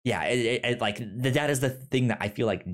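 A man's voice: medium pitch, a little fast. A man co-hosting a casual film podcast over a remote call, stammering and restarting his sentences often. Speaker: podcast co-host.

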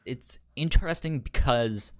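A man's voice: medium pitch, unhurried. A man in a casual film discussion as a podcast co-host, often stammering and repeating the first sounds of words.

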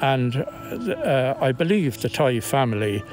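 An Irish man recounting local history as an interviewee, pausing and hesitant as he tells it. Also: medium pitch, sing-song. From County Kilkenny, Ireland. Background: music.

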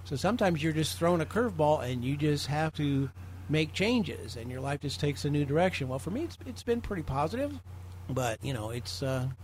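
A man's voice: fairly high pitch, expressive, normal speed, a little mellow, slight background noise. A man chatting casually and reflectively in a group, a little hesitant, from a small hollow room. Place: small untreated room in new studio.

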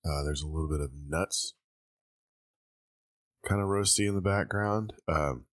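Very deep-voiced congested man. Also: a little slow, no room sound, clean studio sound. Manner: thinking aloud with many ums. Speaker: host tasting liquor blind. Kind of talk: casual tasting chat.

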